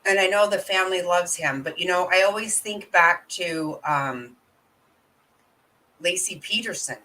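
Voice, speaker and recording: Bright-voiced woman musing aloud. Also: on the low side, low-quality recording. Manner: halting, thinking aloud with fillers. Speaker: vlog host. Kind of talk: casual reaction commentary.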